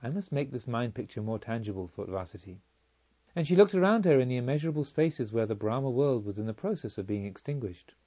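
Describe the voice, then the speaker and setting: A man reading a spiritual novel as scripted narration, in a measured, literary storytelling manner. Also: medium pitch, lively intonation, warm tone, highs cut off. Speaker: audiobook reader.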